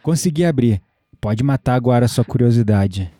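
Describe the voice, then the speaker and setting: An actor playing an intrigued, gently teasing young Brazilian man in an audio drama. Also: medium pitch, animated, moderate pace, a little hiss or hum, close to an everyday voice.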